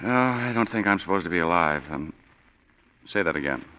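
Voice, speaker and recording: An actor playing a hard-boiled private detective with dry, sardonic wisecracks, in 1940s radio drama. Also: male voice, sits low, expressive, fairly quick. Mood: wry, tough. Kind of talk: 1940s radio drama with first-person narration. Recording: muffled 1940s radio broadcast with long reverb.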